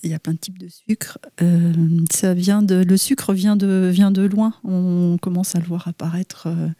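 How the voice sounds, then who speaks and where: A woman with a low, mellow voice explaining food science as a radio guest. She hesitates often, fills her pauses with soft fillers and drops into near-whispers. The studio sound is dry and close. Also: steady tone, dry recording. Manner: hesitant, many "euh", soft half-whispered asides.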